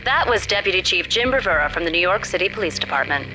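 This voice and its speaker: An actress plays a female TV news anchor reporting the headlines. She talks quickly in a crisp broadcast manner, with a music bed behind her. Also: lowish voice, lively intonation, lightly acted, poor audio. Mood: newsy.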